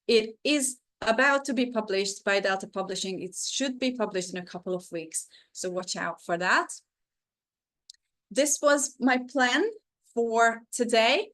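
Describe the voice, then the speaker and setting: A woman's voice: fairly high pitch, expressive, unhurried, fairly bright tone. A woman whose first language is Hungarian, presenting a teaching webinar over a video call in an earnest, encouraging way with frequent fillers.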